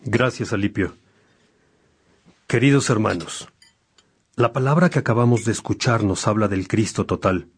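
An actor playing a devout, gracious bishop in a radio drama, preaching to his brothers in an earnest, measured way. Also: lowish voice, slightly rough. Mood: earnest, reverent.

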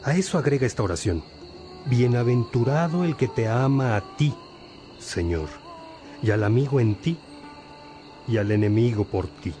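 A man's voice: mid-range voice, animated, moderate pace, long pauses, music in the background, close to an everyday voice. An actor playing a wise, patient older priest who teaches his disciples reflectively in a religious radio drama. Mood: devout. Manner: reflective, didactic.

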